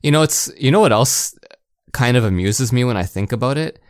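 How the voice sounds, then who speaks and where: A man's voice: medium pitch, animated, a little fast, clear, resonant tone, no echo, clean studio sound. A man co-hosting a gaming podcast in casual, slangy chat, telling anecdotes with mock quotes. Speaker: podcast co-host.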